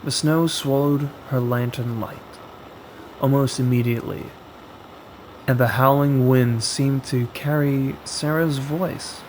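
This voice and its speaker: A man narrates a horror story at an extremely slow pace, breaking his sentences into short, dramatic pieces with deliberate pauses. His pitch sits in a middle male range. A faint, steady hiss and hum runs behind his voice. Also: rough recording.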